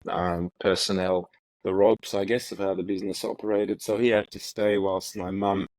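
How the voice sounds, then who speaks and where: Middle-aged man, very low and even voice, halting and reflective, close dry sound. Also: no echo, rough recording. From Ethiopia. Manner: halting, many fillers and repeated words. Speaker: interview guest, retired prosecutor. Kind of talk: relaxed reflective storytelling.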